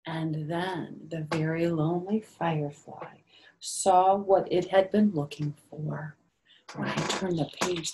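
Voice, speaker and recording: A woman's voice: mid-range voice, noisy background. An older woman reading a picture book aloud to young children, with simple phrasing and little questions and asides to the listeners.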